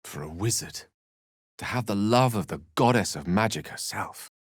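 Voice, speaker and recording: A learned, self-possessed wizard from a fantasy video game. His voice sits a little low in a dry, studio-clean space. He shapes ornate phrases with care, theatrical flair and dry wit. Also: animated, normal speed, no echo. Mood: measured, wry. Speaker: voice actor in a fantasy role-playing game. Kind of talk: theatrical game dialogue in short separate lines.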